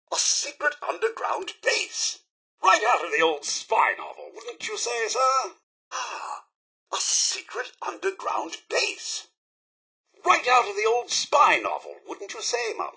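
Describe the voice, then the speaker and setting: High, swooping British robot butler. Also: male voice, husky, exaggerated voice. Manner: plummy, over-polite, exclamatory, highly animated. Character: chipper, prim, fussy male robot butler with weary politeness. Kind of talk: theatrical video game character voice lines.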